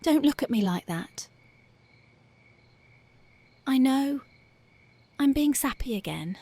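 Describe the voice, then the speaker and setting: A woman voicing a tough but devoted young punk girlfriend in an ASMR roleplay, talking softly and intimately close to the mic, often dropping to a whisper. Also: high-pitched, lively intonation, takes it slowly, no room sound, exaggerated voice. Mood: tender, dreamy. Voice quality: soft, intimate, partly whispered. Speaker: voice actress.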